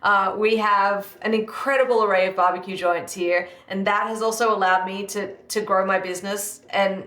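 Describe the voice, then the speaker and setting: A woman's voice: mid-range voice, steady tone, normal speed, few pauses, fairly bright tone. Upbeat Australian woman, roomy sound. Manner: chatty, upbeat, many fillers. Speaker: guest, live fire cook. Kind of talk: interview answers. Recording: echoey room.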